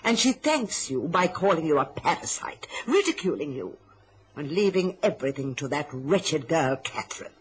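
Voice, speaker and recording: A woman's voice: deep voice, big pitch swings, normal speed, slight background noise, low-quality recording. An actress playing a bitter, scheming, self-pitying middle-aged mother in an old radio drama, with an indignant, martyred, theatrical manner. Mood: scornful, goading.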